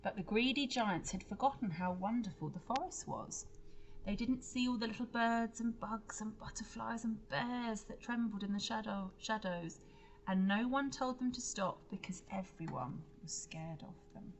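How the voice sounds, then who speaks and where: A woman's voice: fairly high pitch, moderate pace, slight background noise, poor audio. A woman reading a storybook aloud to children like a teacher, expressively, with explanatory asides and questions to her listeners.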